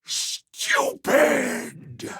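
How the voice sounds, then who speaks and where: Croaking, gasping, dying old man. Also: very high voice, sing-song, pushed and bright, no room sound, clean studio sound, heavily acted. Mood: bitter, dying. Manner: gasping, halting, broken delivery. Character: frail dying old man, bitter and reproachful. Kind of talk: dramatic video game death scene acting.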